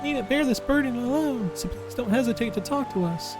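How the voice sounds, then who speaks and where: Man putting on a high, gentle caring heroine. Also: expressive, a little fast, over music. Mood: gentle, reassuring.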